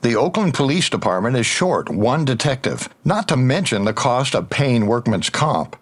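An actor playing an officious police detective who interrogates with formal, pressing, insinuating questions in an audio drama. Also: male voice, medium pitch, very animated, moderate pace. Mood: officious, smug. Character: needling, officious male internal-affairs detective interrogating a colleague.

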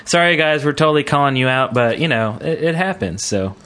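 A man's voice: on the high side, expressive, brisk, a bit bright. A man co-hosting a casual podcast, chatting in a jokey way and laughing readily as he talks. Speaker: podcast co-host.

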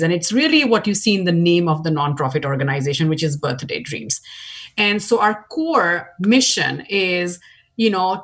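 A woman's voice: on the low side, husky. A woman from India with an Indian accent, speaking earnestly as a nonprofit board chair in an interview. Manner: earnest, heartfelt, with restarts. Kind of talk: interview guest.

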